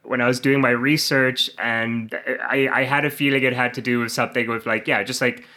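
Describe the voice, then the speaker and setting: A man's voice: mid-range voice, steady tone, bright, forceful tone. A young man hosting an interview podcast, talking in an upbeat, agreeable casual way. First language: English. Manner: upbeat, agreeable, quick affirmations.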